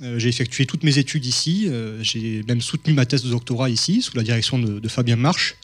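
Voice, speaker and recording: A man's voice: medium pitch, fairly quick. A man from southern France giving a public mathematics lecture, hesitant, with many fillers and restarts.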